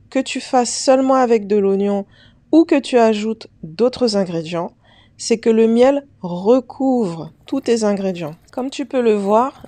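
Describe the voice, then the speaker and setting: Mellow-voiced woman chatting through a recipe. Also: fairly high pitch. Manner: chatty, addresses the viewer directly as a friend. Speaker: home remedy vlogger. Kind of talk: casual how-to narration to camera.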